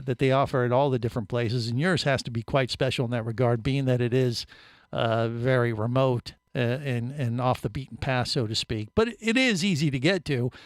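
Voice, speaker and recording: A man's voice: on the high side, clean tone, no room sound. Chatty male radio host, dry studio sound. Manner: rambling, many fillers and restarts. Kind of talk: casual radio interview.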